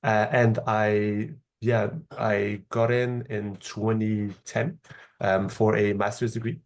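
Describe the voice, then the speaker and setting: A man in his thirties from Morocco with a French accent, answering interview questions in a measured, explanatory way with frequent hesitations. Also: lowish voice, little pitch movement. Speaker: podcast guest, startup founder.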